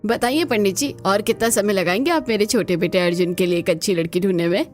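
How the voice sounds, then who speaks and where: Woman playing a bossy, lowish-voiced mother-in-law. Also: fast talker, soft background music, natural voice. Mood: demanding, proud. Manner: firm, questioning, emphatic. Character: demanding middle-aged mother-in-law, proud and bossy.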